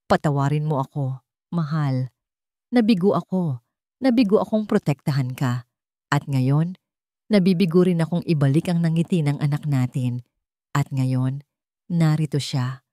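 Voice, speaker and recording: Icy grieving rich father, low and clipped, dry close read, a woman voicing him. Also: unhurried, long pauses, warm tone, no room sound. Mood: remorseful. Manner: cold, emotionless, clipped. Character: cold, grieving wealthy widowed father.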